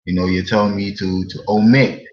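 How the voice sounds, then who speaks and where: A man co-hosting a casual history discussion, speaking hesitantly with many pauses and fillers. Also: lowish voice, fairly even intonation, a little mellow, muffled recording.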